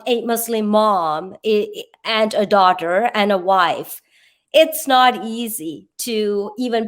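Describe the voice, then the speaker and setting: Slow, halting woman talk show host. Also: a little high, fairly bright tone. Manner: halting, searching for words, repeats herself. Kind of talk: conversational motivational interviewing.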